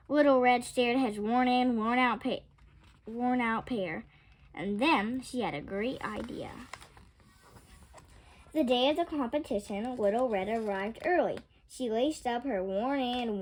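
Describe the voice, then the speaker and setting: A woman reading a children's picture book aloud with expressive, dramatic storytelling. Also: on the high side, low-quality recording. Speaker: storytime reader.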